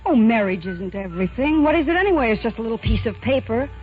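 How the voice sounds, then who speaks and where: A woman's voice: on the high side, animated, quick pace, close to an everyday voice. An actress playing a spirited, wry young working-class woman in love, plainspoken and wistful, in 1940s radio drama style. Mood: defiant. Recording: tinny 1940s radio broadcast with music.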